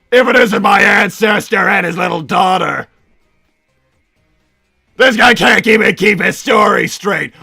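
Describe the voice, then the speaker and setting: A man playing a pompous, irritable cartoon villain scientist and doting father, raspy and theatrical, bantering in character on a livestream. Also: sits high, bright, forceful tone, full character voice. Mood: indignant, ranting. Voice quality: raspy, gravelly. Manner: theatrical, blustering, stammers when flustered. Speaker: livestream host reading donations.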